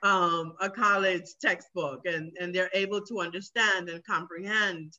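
An earnest woman teacher explains her work in a very bright, sharp voice, often repeating words to drive a point home. The sound is dull and lo-fi, like audio from a video call. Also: fairly low pitch, steady tone, measured pace. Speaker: middle school ESOL teacher. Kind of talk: interview answer, explanatory.

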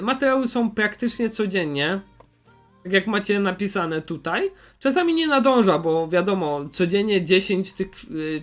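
High-pitched man casually commenting. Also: fairly bright tone. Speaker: YouTuber host recommending channels.

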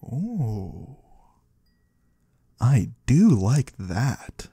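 A man's voice: medium pitch, big pitch swings, very slow, warm tone, breathy edge, no echo, heavily acted. A man playing a curious otherworldly trader in an ASMR roleplay, musing in quaintly formal words and savoring single ones close to the microphone. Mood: intrigued. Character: curious otherworldly male trader of the shadowy void. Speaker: ASMR performer. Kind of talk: ASMR roleplay, soft slow close speech to the listener. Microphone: close microphone, dry.

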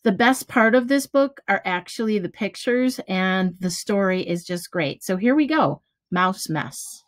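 A middle-aged woman reading a children's picture book aloud, deliberately, pausing between phrases. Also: medium pitch, animated, no echo, clean studio sound. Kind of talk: children's storybook read-aloud. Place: small room at home, indoors. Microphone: webcam or device at arm's length.

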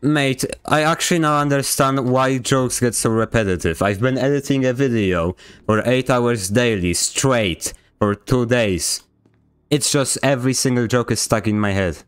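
A man's voice: mid-range voice, moderate pace, clean tone. A young man from Poland with a Polish accent, giving joking, sarcastic commentary while streaming a game. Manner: joking, sarcastic, mock-indignant. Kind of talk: casual gameplay commentary.

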